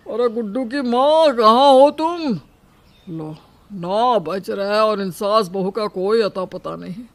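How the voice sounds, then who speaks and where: A woman playing a grumpy, complaining older husband in a cartoon story, in a loud, demanding manner. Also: mid-range voice, expressive, takes it slowly. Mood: impatient. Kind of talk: acted cartoon story dialogue.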